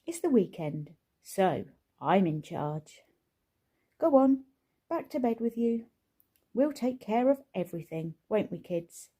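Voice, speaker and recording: A woman's voice: medium pitch, very animated, slow, mellow, husky, heavily acted. A woman voicing a jolly, bossy father who commands his children around the house. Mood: commanding. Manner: hearty, commanding.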